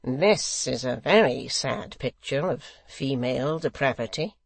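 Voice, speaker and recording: A reader voicing a meek but severe male secretary, a sly, sanctimonious flatterer with unctuous, drawn-out emphasis. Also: mid-range voice, no echo. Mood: meek severity.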